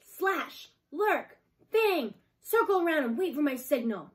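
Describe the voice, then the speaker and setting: A woman's voice: high voice, very animated, measured pace, pitched above the performer's own voice. Woman voicing a stern, commanding wolf leader. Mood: snappish, commanding. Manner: whispering or snapping orders. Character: sly, commanding male wolf pack leader.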